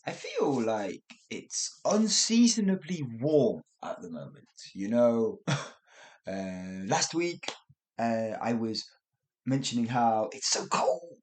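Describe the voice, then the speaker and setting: Male teacher, relaxed podcast-lesson chat, slow pace, animated pitch. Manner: chatty and self-correcting, with frequent laughs. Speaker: English teacher, podcast host. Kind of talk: casual spoken English lesson.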